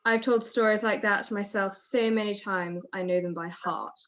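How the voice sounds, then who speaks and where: A woman's voice: medium pitch, steady tone, moderate pace, noisy background, natural voice. A woman playing a wealthy, flirtatious socialite with a gushing, teasing manner in a 1940s-style radio play.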